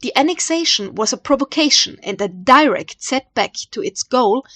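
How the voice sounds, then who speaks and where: Austrian lady doing history narration, kinda high voice, dry close sound, dramatic w/ rhetorical questions. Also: animated, moderate pace, sharp tone, no room sound. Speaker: history channel host.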